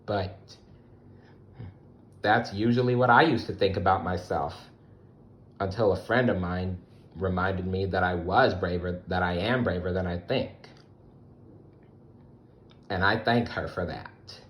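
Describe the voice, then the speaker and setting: A man reading a children's story aloud in a gentle, expressive way, speaking directly to young listeners. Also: deep voice, a little slow, pauses often. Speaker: storybook reader. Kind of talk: animated children's read-aloud.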